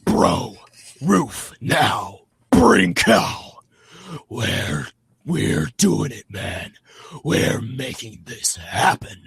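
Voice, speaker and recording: A man putting on a gruff, grimly intense caped vigilante with a hoarse, gravelly rasp, speaking in clipped, halting dramatic bursts. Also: on the low side, sing-song, fairly bright tone, no room sound, pitched below the performer's own voice, heavily acted.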